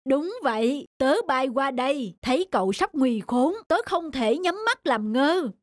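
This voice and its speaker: Woman voicing a sly, smooth-talking cartoon hawk. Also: sits high, lively intonation, unhurried, slight background noise. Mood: reassuring. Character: sly hawk villain feigning kindly friendship. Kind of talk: animated children's folktale acting.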